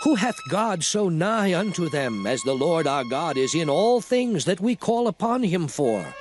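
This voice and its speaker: A man's voice: a little high, very animated, measured pace, music in the background. An actor playing a solemn biblical prophet, declaiming scripture to his people in archaic, oratorical style. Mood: exhorting, earnest. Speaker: dramatized scripture reader. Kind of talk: dramatized King James Bible reading.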